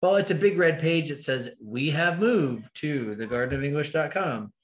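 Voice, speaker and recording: A man's voice: fairly high pitch, expressive, normal speed. A man from Massachusetts chatting casually on a video call, joking and poking fun at himself. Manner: joking, self-mocking.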